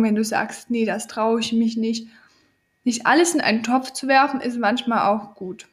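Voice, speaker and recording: A woman with a cold hosting a podcast, giving an earnest motivational monologue straight to the listener. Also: on the high side. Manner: earnest, coaching, addresses listener directly. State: has a cold. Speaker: podcast host.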